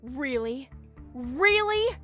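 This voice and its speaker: Sarcastic captive woman, played by a woman, high-pitched and foul-mouthed, over music. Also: very animated, very slow, a bit bright, exaggerated voice. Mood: scornful. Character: crude sneering captive woman, hostile. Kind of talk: fan audio drama acting.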